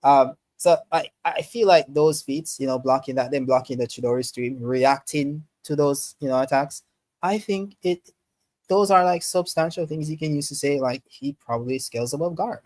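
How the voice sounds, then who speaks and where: A man's voice: fairly high pitch, moderate pace, a little mellow, no room sound. A man debating anime casually as a podcast panelist, insistent and full of slang. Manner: slangy, insistent, repeatedly addressing others as bro.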